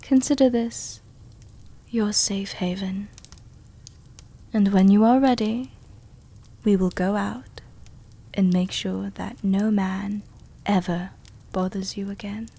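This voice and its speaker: A woman voicing a tender, seductive, protective vampire countess in a soft-spoken ASMR roleplay, breathy and often whispering, soothing and gently commanding. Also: medium pitch, fairly even intonation, slow, pauses often, exaggerated voice.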